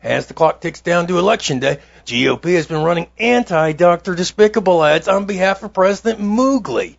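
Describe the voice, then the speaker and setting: A man's voice: high-pitched, expressive, brisk, creaky, no room sound, muffled recording, exaggerated voice. A man playing a political talk show host in a parody broadcast, with an affected announcer's delivery. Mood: mock-serious.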